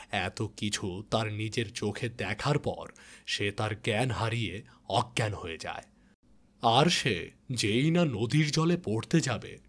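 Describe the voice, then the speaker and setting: A man narrating a scripted moral tale as a storyteller. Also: lowish voice.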